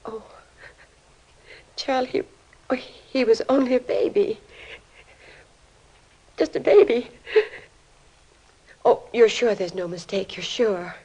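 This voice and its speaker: An actress playing a distraught, disbelieving middle-aged American mother in an old radio crime drama, with a breathy, fragile voice. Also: a little high, slow pace, long pauses, dark tone, exaggerated voice, rough recording. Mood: stunned disbelief. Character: distraught, grieving mother of a teenage boy, disbelieving.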